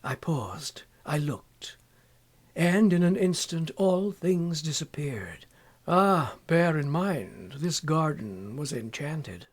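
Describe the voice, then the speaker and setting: A man with a fairly high voice gives a dramatic poetry reading. He takes it very slowly, with impassioned phrasing and frequent pauses, often sinking into a hushed delivery. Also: very animated, breathy edge. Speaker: poetry reader.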